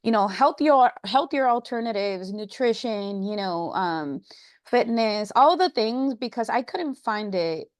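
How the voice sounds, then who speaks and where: A woman's voice: a little high, animated, unhurried, no echo, clean studio sound. A woman from Puerto Rico, a guest health coach, talking casually with many fillers. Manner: chatty, many fillers like "you know" and "um". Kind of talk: casual interview.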